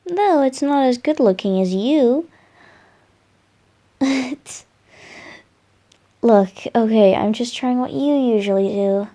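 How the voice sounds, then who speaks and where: Slow, soft boyish wind god voiced by a woman. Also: fairly high pitch, expressive. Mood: teasing, affectionate. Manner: soft, musing, hesitant, affectionate. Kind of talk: roleplay ASMR, intimate one-sided dialogue to a listener.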